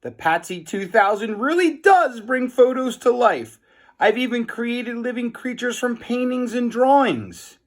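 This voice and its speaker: A man's voice: extremely high pitch, animated, measured pace, voice pushed up. A man voicing an earnest, boastful nerdy boy inventor who explains things grandly and emphatically in a children's storybook. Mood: excited, indignant.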